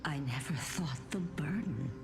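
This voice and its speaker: An aged grandmother spirit from a fantasy game, tender and weary. Her voice sits fairly low and swings widely between hushed and rising tones. She speaks slowly and solemnly, with music playing underneath. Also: expressive. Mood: tender, wistful. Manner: slow, solemn, breathy pauses and sighs. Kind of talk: dramatic video game voice acting.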